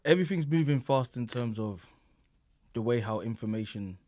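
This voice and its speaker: A man's voice: mid-range voice, animated, moderate pace, darkish tone, no echo. Laid-back young man, dry close mic. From England. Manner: laid-back storyteller, laughs often, slangy. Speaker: guest comedian. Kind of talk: casual podcast chat.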